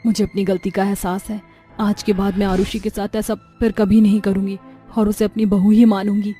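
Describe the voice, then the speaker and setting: A woman plays a haughty middle-aged mother in a cartoon melodrama. The voice is mellow and medium in pitch, deliberate and theatrical, with soft music behind. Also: little pitch movement, natural voice. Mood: remorseful. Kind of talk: cartoon story dubbing.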